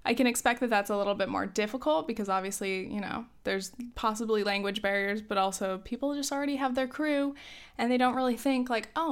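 A young woman in her twenties giving casual advice in a chatty way, with many fillers and small laughs, a little hoarse from coughing. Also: fairly high pitch. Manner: chatty, lots of "like" and "um", laughs often. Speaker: advice host.

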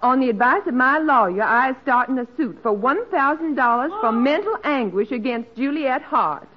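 High-voiced, coy girlfriend. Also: animated, moderate pace. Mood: coy, scheming. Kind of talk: 1940s radio comedy acting. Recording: muffled old radio recording.

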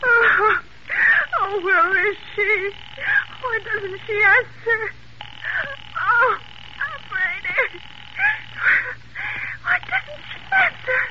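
A querulous, panicky invalid woman in a golden-age radio drama. Her voice is extremely high and swoops wildly as she demands and frets with theatrical indignation. The old recording is muffled and plainly noisy. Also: lively intonation, drawn-out words, pauses often, full character voice. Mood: fearful. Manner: shrill, indignant, melodramatic and demanding. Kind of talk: 1940s radio thriller acting.

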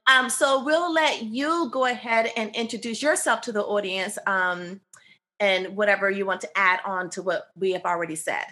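A woman's voice: medium pitch, moderate pace, sharp tone. A woman co-hosting a podcast, interviewing her guest with enthusiasm and lavish praise. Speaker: podcast co-host.

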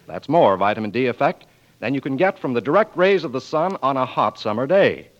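A man with an American accent announcing from a script in a polished, emphatic 1940s radio style. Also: mid-range voice. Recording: muffled 1940s radio broadcast. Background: music.

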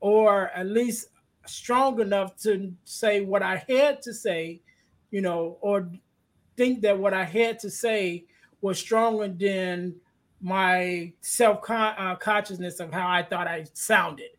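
A man with a light, soft-toned voice hosting a casual podcast conversation, speaking haltingly as he searches for words and often adds "you know.". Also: high-pitched. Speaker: podcast host.

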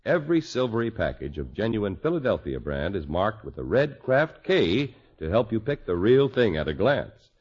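A man announcing on 1950s radio, reading a scripted commercial with emphatic, deliberate salesman phrasing. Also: fairly low pitch, big pitch swings, a little mellow, slightly rough. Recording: muffled old radio broadcast.

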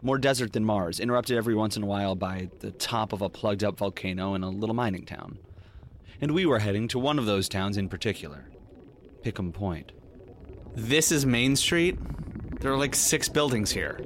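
Man playing a low-voiced, world-weary detective. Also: expressive, fairly quick, a little hiss or hum, lightly acted. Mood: irritated, impatient. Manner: wry, brooding, sardonic. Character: world-weary, wry male detective narrating his story. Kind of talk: noir audio drama narration and dialogue. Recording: faint music behind the voice.

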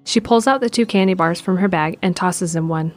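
A woman giving steady scripted narration of an audio drama in the present tense. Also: on the low side, a little fast, soft background music.

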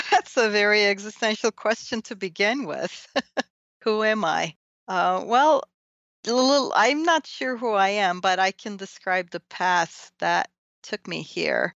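A woman from Turkey, a guest on an interview podcast, talking in an animated way with frequent laughs and quick restarts. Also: mid-range voice, gravelly, dry recording, dull audio.

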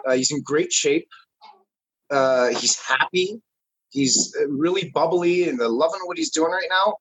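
A man, a podcast guest who talks wrestling, chatting casually in a joking, rambling, animated way. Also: on the high side, unhurried, slightly rough, no room sound, poor audio. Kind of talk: casual chat.

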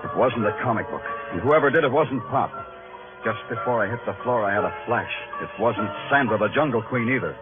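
An actor playing a world-weary, hard-boiled New York detective, wry and terse, in a vintage noir radio drama. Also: male voice, medium pitch, expressive, brisk, close to an everyday voice. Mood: brooding, wry. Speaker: lead character and narrator. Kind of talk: 1940s-50s noir radio drama narration and dialogue. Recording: tinny old radio recording with music.

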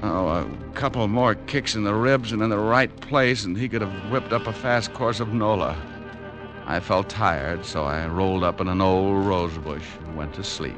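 An American actor playing a wry, tough private detective in a 1950s radio drama, with laconic hardboiled narration. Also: male voice, sits low, moderate pace, music in the background, lightly acted. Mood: weary, wry. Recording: vintage radio drama recording.

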